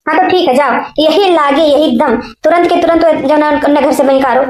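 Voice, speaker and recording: A woman playing a high-pitched, bossy young co-wife. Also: brisk, darkish tone, close to an everyday voice. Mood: bossy, threatening. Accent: eastern Uttar Pradesh. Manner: bossy, scolding, repeats commands. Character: scheming, domineering younger co-wife, bullying and threatening. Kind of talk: village family comedy cartoon dub. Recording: echoey room sound.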